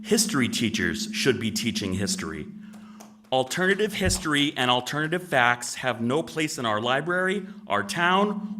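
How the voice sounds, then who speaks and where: Man, deep voice, earnest prepared statement, distant mic, noisy hall. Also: bright, forceful tone. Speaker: resident giving public comment. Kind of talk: public comment at town meeting. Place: town hall meeting room.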